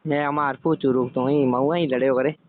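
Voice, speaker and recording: A man's voice: medium pitch, steady tone, quick pace. A man with a Rajasthani accent chatting casually on a private phone call. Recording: tinny phone line with background noise.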